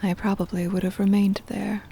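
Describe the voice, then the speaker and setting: A woman voicing a centuries-old possessive, doting vampire mistress in an intimate ASMR roleplay, whispery and breathy, with tender, formal old-fashioned phrasing. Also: medium pitch, level tone, moderate pace, mellow, slight background noise, natural voice. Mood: wistful, longing. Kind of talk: intimate ASMR roleplay, scripted dramatic monologue.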